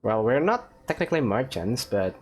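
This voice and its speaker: Low-pitched woman, animated gaming commentary. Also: moderate pace. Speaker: gaming video host. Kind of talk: casual let's play commentary.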